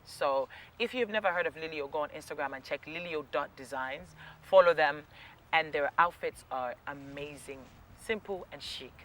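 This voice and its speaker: Bright, bubbly young woman host. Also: low voice, moderate pace. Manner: bubbly, laughs often, upbeat. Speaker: talk show host. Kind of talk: hosting a casual interview. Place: outdoors in a sunny garden by palm trees.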